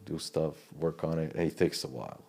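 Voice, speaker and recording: A man from Serbia speaks English with a Serbian accent in a very low, deep register. He talks loosely and casually, restarting sentences and trailing off as he goes. It sounds like a relaxed interview chat. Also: fairly quick. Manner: loose, rambling talk with restarts and broken phrasing.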